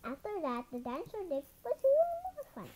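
A storybook reader doing an expressive children's picture-book read-aloud, sometimes stumbling over words, recorded indoors at a table. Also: very high voice, a little fast. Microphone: camera over the book.

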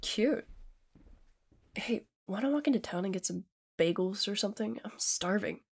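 A woman's voice: mid-range voice, lively intonation, normal speed, gravelly, husky. A woman playing an easygoing, modest, dry-humored teenage younger sister in an audio drama. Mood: wary, amused. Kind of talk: fan audio drama acting.

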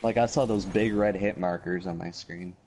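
A man's voice: sits low, steady tone, moderate pace, a little mellow, a little hiss or hum. A man on a headset mic streaming a team shooter, talking casually and explaining tactics to his squad. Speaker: gamer streaming with teammates. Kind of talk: casual game chat and callouts.

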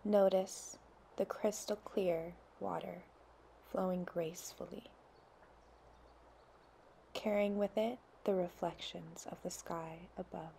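A woman leading a guided meditation in a soft, soothing way, sometimes dropping to near whispers. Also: lowish voice, steady tone, slow, vocal fry, pauses often, slight background noise.

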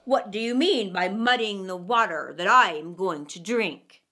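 A woman's voice: mid-range voice, unhurried, noisy background, voice pushed down, close to an everyday voice. A woman voicing a fierce, hungry male wolf with a growling, bullying manner in a children's storybook. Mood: menacing, accusing.

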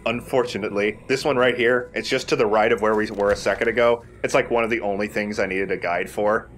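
A man's voice: lowish voice, steady tone, fast, a bit bright, slight background noise. A man giving casual, explanatory game commentary with rambling asides. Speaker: let's play commentator.

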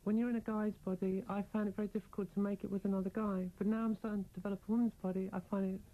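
A woman guest in a radio interview, talking candidly and reflectively in a level voice that moves little in pitch. The recording is thin and phone-like, like an old aircheck. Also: little pitch movement, very fast. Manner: reflective, explanatory.

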